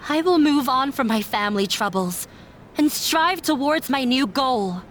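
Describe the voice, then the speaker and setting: An actress playing an earnest runaway daughter standing up to her father in a game dub, with formal, measured delivery. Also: high voice, expressive, slight background noise. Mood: defiant. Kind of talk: dramatic game dialogue. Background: background music.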